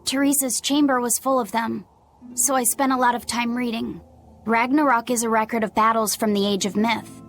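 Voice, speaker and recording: A woman's voice: a little high, steady tone, moderate pace, a little hiss or hum, lightly acted. A woman voicing an innocent, bookish young boy spirit in a video game, earnest and hesitant. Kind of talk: video game cutscene acting.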